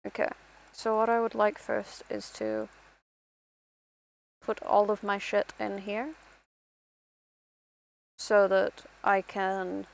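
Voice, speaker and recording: A woman's voice: medium pitch, slow pace, creaky, long pauses, rough recording. A young woman from Ireland rambling through casual gameplay commentary as a streamer, self-deprecating and talking to herself.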